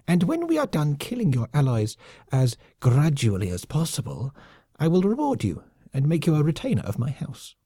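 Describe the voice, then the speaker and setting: A man's voice: wildly swooping pitch, dark tone, breathy edge, no room sound, clean studio sound, heavily acted. A man voicing a furious, vicious male lemming colonel who shrieks and hisses his threats. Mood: scheming. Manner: shrill, hissing, drawn-out threats.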